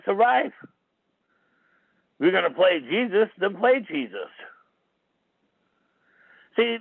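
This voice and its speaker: A man with a high, gravelly voice holds forth in a rambling, argumentative monologue. The sound is tinny, like a phone line, and close and dry. He circles back over his points again and again. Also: no room sound. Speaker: talk show host. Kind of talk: rambling call-in radio monologue.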